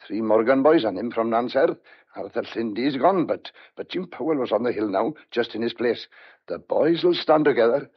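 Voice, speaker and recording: Shrewd old country farmhand, muffled. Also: male voice, mid-range voice, lively intonation, normal speed, breathy edge. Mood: grave, confiding. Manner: folksy dialect, colourful country sayings. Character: shrewd, stubborn old rural farmhand, loyal and secretive. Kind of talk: old-fashioned radio thriller acting. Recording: dull, lo-fi radio drama sound.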